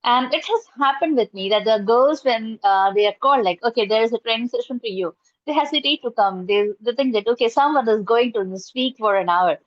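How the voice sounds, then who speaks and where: A middle-aged Indian woman with a fairly high voice and an Indian accent talks earnestly, full of fillers and restarts, as an interview guest. Her first language is Urdu. The video-call audio is dry and somewhat dull. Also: a little fast, no room sound. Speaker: guest, college professor and trainer. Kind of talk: casual interview answers. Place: indoors at home, curtain behind.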